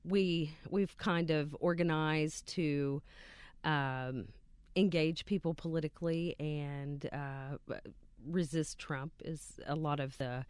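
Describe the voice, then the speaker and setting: A woman from West Virginia speaks with a smooth, clear voice in a dry studio, her words full of pauses and ums. She sits slightly back from the mic. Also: sits low, steady tone, no echo. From West Virginia, USA. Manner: hesitant, many ums and uhs, soft-spoken. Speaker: guest, local activist. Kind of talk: casual interview. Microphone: sits a bit off the studio mic.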